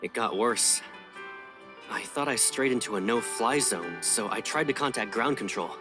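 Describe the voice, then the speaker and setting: A man's voice: mid-range voice, animated, moderate pace, close to an everyday voice. An actor playing a confident, affectionate young male pilot in a video game, with a playful, teasing manner. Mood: mock serious. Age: young adult. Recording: game audio with music behind. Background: music.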